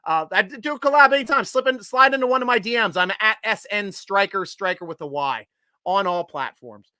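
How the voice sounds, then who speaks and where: A man hosting a live sports show, reading out viewer comments in a loose, joking way, with loud shout-outs and low mutters. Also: animated, a little fast, no echo. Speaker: host.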